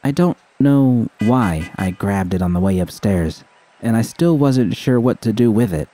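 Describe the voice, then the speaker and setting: A man's voice: on the low side, expressive, a little slow, dark tone, poor audio. A man reading a scripted first-person story as narration. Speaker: audiobook reader of fan fiction.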